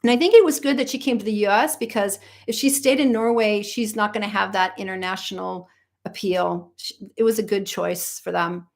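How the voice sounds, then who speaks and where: A woman's voice: medium pitch, steady tone, brisk, creaky. A middle-aged American woman, a vocal coach, chatting casually on a livestream and reading out viewer comments, rambling with lots of ums and restarts. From United States.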